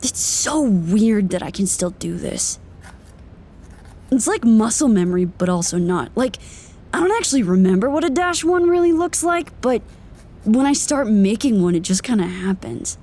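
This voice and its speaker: A woman's voice: mid-range voice, sing-song, normal speed, mellow. A woman acting in an audio drama as someone whimsically muttering to herself in a casual, rambling way. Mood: musing, playful.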